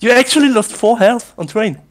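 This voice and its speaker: A man's voice: extremely high pitch, lively intonation, a little fast. Laughing, teasing man on voice chat. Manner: laughs often, teasing, swearing. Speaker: speedrunner in a race. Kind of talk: casual voice chat during a race.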